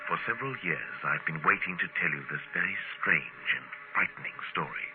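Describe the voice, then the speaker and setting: An actor playing a serious archaeologist, narrating a strange adventure in a measured, dramatic old-time radio style. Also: male voice, low voice, lively intonation, music in the background. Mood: grave, confiding. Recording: muffled, noisy vintage radio recording.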